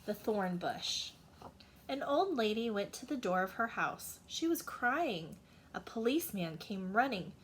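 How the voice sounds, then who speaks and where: A woman's voice: mid-range voice, very animated, unhurried, slight background noise. A woman narrating a children's storybook as a teacher reading to young listeners.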